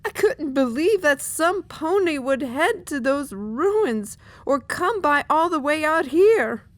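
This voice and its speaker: World-worn mercenary commander mare, high voice, slow and earnest, audio drama, dry close sound. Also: female voice, expressive, dry recording. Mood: worried, earnest. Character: weary, hard-bitten female mercenary commander pony.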